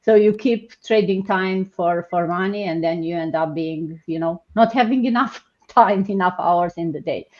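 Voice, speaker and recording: A woman from Romania hosts a live online session over a video call, talking loosely and filling pauses as she goes. The stream audio is dull and lo-fi. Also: on the low side. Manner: chatty, hesitant, many fillers. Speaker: host. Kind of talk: live online panel hosting.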